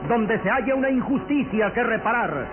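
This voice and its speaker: A man's voice: very high voice, animated, normal speed. A man announcing a radio serial in a dramatic, promotional scripted style. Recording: tinny lo-fi radio recording, loud music.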